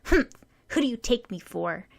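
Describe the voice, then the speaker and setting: A woman's voice: on the high side, big pitch swings, moderate pace, gravelly, heavily acted. A woman doing a gloating little grumpy creature. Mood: grumbling. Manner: grumbling, gloating.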